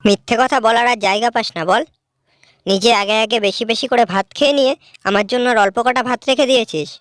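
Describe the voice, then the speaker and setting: A woman's voice: a little high, fast talker, no room sound, clean studio sound, natural voice. A woman acting a young village sister in a cartoon folk tale. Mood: spiteful, accusing. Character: two village sisters, one sweet, one spiteful.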